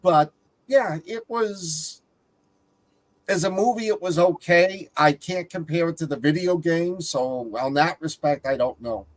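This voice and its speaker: A man on a movie review panel talking casually, pausing mid-sentence to find his words. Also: a little high, rough recording.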